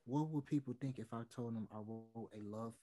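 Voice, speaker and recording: A man's voice: medium pitch, fairly quick, crowd noise, close to an everyday voice. A young man playing a regretful, closeted touring musician, earnest and hesitant as he pleads to reconcile in a play read over video call. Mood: earnest, pleading. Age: young adult. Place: small room at home, indoors.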